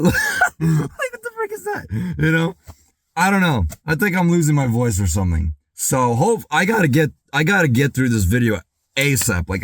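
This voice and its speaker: A man doing excited reaction commentary, clearing his throat as he recovers from being sick and saying dude and bro a lot. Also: mid-range voice, no echo, studio quality. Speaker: music reaction YouTuber.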